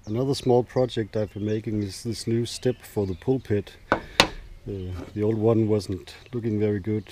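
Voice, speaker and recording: A man's voice: on the low side, steady tone, moderate pace, a little hiss or hum. A middle-aged man casually explaining his boat repairs as he works, in a plain, slightly hesitant way. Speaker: DIY sailboat vlogger. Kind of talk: casual narration while doing boat repairs. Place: aboard a small boat, cabin and cockpit.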